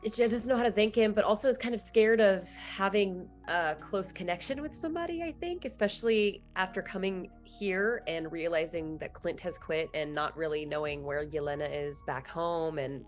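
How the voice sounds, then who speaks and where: A woman's voice: lowish voice, moderate pace, hardly any pauses, soft background music. A woman playing a tabletop game, casually talking through what a character feels.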